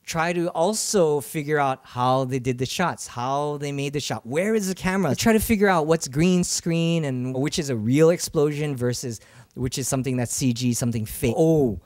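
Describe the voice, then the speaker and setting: A man's voice: fairly high pitch, normal speed, a little mellow, dry recording, studio quality. A man in his thirties from Hawaii talking casually and playfully as a filmmaker being interviewed, speaking into a handheld mic. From Hawaii, USA. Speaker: filmmaker answering interview questions. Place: small room indoors, seated on a couch. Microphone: handheld microphone held close.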